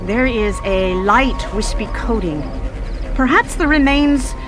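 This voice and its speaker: A woman playing a cautious science professor in a radio drama, speaking formally and deliberately. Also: a little high, animated, over music. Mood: cautious, analytical. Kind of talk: radio drama expert interview.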